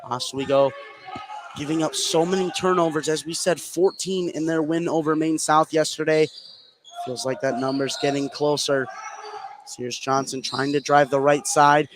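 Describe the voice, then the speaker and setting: A man's voice: a little high, steady tone, moderate pace, background noise. A man doing live play-by-play commentary on a basketball game. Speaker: play-by-play sports announcer. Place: indoor high school basketball game.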